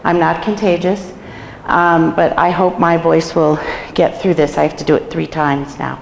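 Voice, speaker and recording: A woman with a hoarse, gravelly, low voice leads a staff training session, firing questions at the room in an easy, chatty way. She is recorded in an echoey room with a long reverb tail. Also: little pitch movement, normal speed, slight background noise. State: hoarse from a cold. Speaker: nursing home administrator. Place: meeting room in a care home.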